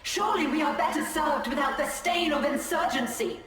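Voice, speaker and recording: A woman's voice: high voice, normal speed, fairly bright tone. A woman playing a proud, solemn alien seer and commander in a video game, speaking formally. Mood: grave, resolute. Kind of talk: dramatic video game acting. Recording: long echo, distant, music behind.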